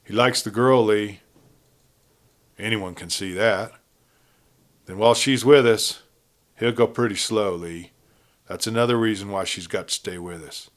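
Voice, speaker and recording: A man's voice: fairly low pitch, a little slow, pauses often, close to an everyday voice. A man voicing a ruthless, blunt outlaw gang leader with a folksy cowboy drawl in a Western story. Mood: uneasy, menacing.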